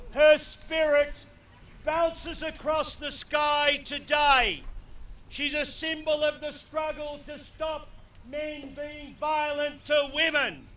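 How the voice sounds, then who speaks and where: A man giving a loud, impassioned tribute speech outdoors on a football ground without a microphone, heard from a distance. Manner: booming, impassioned, declamatory. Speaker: speaker at a memorial tribute before a football match. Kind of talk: loud unamplified public address to a crowd. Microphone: no microphone, picked up from a distance.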